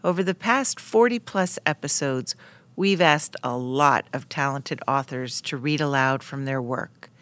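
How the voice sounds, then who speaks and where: A woman podcast host reading scripted introductions in a clear, presentational way. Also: rumbling low, measured pace, a bit bright, no room sound.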